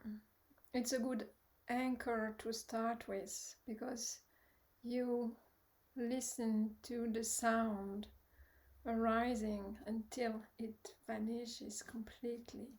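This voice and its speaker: Slow, even-toned woman guiding meditation. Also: a little high, poor audio. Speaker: meditation teacher. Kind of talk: guided meditation instruction.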